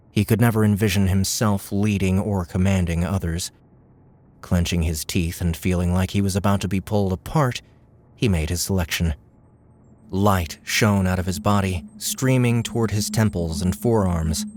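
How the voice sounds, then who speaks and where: Deep-voiced male audiobook narrator. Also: darkish tone. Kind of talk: scripted fiction narration.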